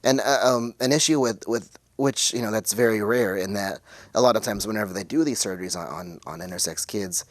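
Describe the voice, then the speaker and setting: A man in his forties speaks in a low voice, recorded close and dry. He talks casually as an interview guest from West Texas, often halting and feeling around for the right word. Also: no echo. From West Texas, United States. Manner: halting, searching for words. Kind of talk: casual interview talk, personal account.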